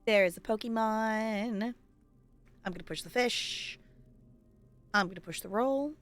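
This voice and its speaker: A woman's voice: fairly high pitch, measured pace, soft background music. A woman livestreaming a game with casual, playful commentary, chatting and thinking aloud as she plays. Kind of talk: casual live gaming commentary.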